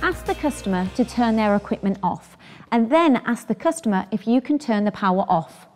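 A woman's voice: a little high, animated, normal speed, darkish tone, soft background music. A British woman in her thirties presenting scripted instructions for a training film.

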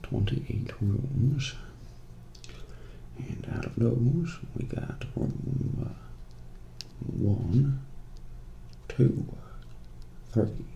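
Man acting a gravelly, soft-spoken Southern motel clerk. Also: medium pitch, lo-fi sound. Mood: quiet, methodical. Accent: American Southern. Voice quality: soft, breathy, gravelly, slipping into whisper. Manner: slow, drawling, folksy, hesitant pauses. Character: laid-back folksy small-town motel clerk, adult man. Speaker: ASMR role-play performer. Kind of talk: soft-spoken ASMR role play. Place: small dim office at a desk. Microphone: close binaural microphone. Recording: noisy close binaural recording, room ambience.

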